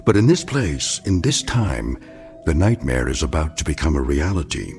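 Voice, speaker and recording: A man narrating an eerie radio drama in a solemn, deliberate, theatrical manner. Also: very deep voice, sing-song, a little mellow, faint music behind, full character voice. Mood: grave, ominous. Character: grave, portentous male narrator of an eerie tale.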